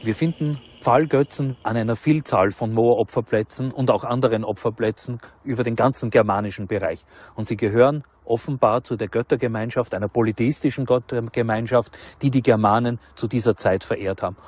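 A man's voice: medium pitch, fairly quick, darkish tone, no echo. A man speaking as an expert historian, explaining in an academic way in a documentary interview.

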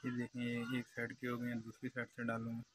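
A man's voice: mid-range voice, monotone, fast. A young man with a Pakistani accent giving a repetitive, step-by-step commentary as he demonstrates his craft. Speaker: glass engraver, channel host. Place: glass cutting workshop. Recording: noisy workshop background hum.

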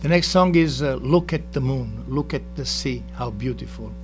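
A man in his sixties from Italy with an Italian accent, introducing songs in a TV studio and pausing to search for words. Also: medium pitch. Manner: halting, repeats words when searching. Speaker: guest singer-guitarist. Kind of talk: singing ballads with guitar, casual introductions. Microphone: stand mic in front of him. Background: acoustic guitar.

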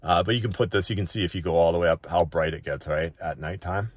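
Man, low voice, casual tech reviewer, informal unscripted walk-through, close dry sound. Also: fast, no room sound. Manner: informal, often ends points with a checking "right?".

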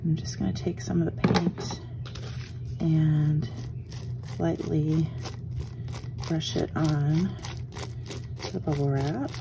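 A woman with a hoarse morning voice, chatting casually as she walks through a craft tutorial at her desk, often trailing off into soft murmurs. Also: sits low, fairly even intonation, slow pace, pauses often, noisy background. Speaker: art journaling instructor. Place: home craft desk, indoors.

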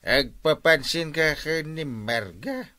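A man's voice: fairly high pitch, expressive, takes it slowly, bright, forceful tone, exaggerated voice. A man playing a meddling, blustering uncle with an Ilocano accent and a stammering, exaggerated delivery in a comedy radio drama. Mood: blustering, coaxing. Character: meddling, blustering uncle giving bad courting advice.

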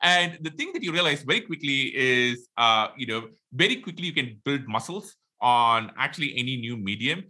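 A middle-aged man with an Indian accent, a podcast guest talking quickly and animatedly on a video call from a home room. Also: medium pitch. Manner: quick, animated, many fillers. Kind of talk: casual interview talk. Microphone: headphones, video call.

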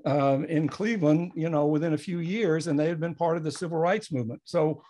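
Man, 70s, slightly high voice, reflective and emphatic historian speaking unscripted on a video call. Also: brisk, warm tone. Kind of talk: unscripted panel remarks over video call. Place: home office, indoors. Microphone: computer mic on video call.

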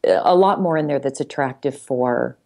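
Woman podcast guest w a low voice, chatting casually, kinda halting, repeats words. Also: mellow. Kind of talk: casual conversation.

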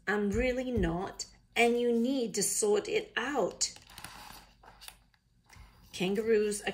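Woman putting on an indignant koala, attempted Australian accent. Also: mid-range voice. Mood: fed up. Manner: blunt, grumbling, emphatic. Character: exasperated, indignant cartoon koala. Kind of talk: children's picture book read-aloud.

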